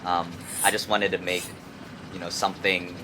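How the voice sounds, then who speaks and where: Young man from Hong Kong, deep voice, casual stop-start slangy talk, recorded on a noisy urban rooftop. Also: flat delivery. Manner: halting, repeats words, slangy with frequent "like" and "you know". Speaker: music artist, interview guest. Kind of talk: casual chat. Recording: clear outdoor city traffic noise.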